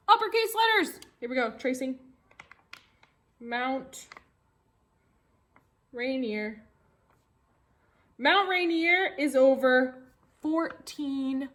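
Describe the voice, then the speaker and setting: An American woman leads a lesson for young children in a bright, very animated voice. She takes her time, repeats key words simply and plays up questions with exaggerated wonder. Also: a little high. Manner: simple explanations with repetition. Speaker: elementary school teacher. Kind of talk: read-along tracing lesson for young children.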